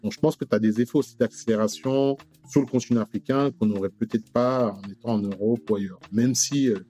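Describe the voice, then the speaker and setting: A man in his thirties from Rwanda, talking as an interview guest, hesitant with many pauses and restarts. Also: mid-range voice, steady tone, mellow, faint music behind. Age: 30s. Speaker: guest, company director. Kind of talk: casual interview chat.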